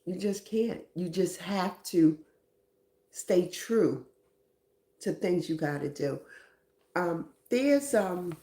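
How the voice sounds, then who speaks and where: Woman on a live stream giving life advice, low mellow voice, kinda echoey room. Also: lively intonation, slow, long pauses. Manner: reflective, asks "right?" often. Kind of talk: motivational live stream talk.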